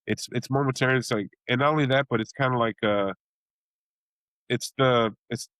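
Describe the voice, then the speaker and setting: A man from Chicago, a blues singer and bandleader, talking casually in an interview, rambling with many restarts and acting out reactions in sudden high exclamations. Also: steady tone, normal speed, fairly bright tone, smooth, no room sound.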